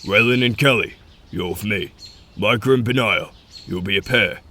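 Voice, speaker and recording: Man as a grave, low-voiced wolf pack leader. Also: normal speed, sharp tone, slight background noise. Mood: steady, commanding. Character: grave elder male wolf-pack leader, father, battle veteran. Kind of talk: scripted fantasy audio drama.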